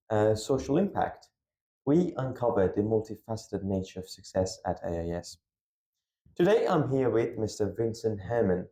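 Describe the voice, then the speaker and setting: A man's voice: on the low side, mellow. A man hosting a school podcast, reading a formal introduction and then asking interview questions. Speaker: podcast host. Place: school room. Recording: echoey room.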